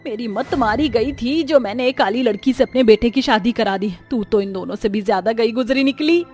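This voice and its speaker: A stern, proud mother-in-law from an animated story, scolding with a sharp tongue, as a woman voices her. She speaks low and quietly for her kind, with a faint music bed behind. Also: moderate pace, natural voice. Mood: furious.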